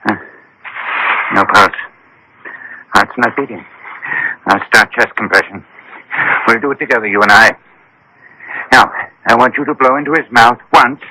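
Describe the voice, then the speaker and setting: An actor in a radio drama playing a brusque, commanding doctor with a brisk, authoritative manner. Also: male voice, medium pitch, sharp tone, slight background noise, poor audio. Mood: focused, instructing.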